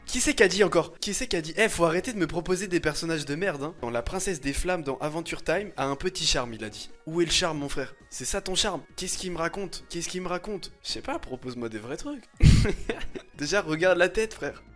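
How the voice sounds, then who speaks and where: High-pitched young man streaming. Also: brisk, soft background music. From France. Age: 20s. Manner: slangy, teasing, expressive, laughs often. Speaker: livestreamer reacting to images and chat. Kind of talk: casual chat, livestream commentary.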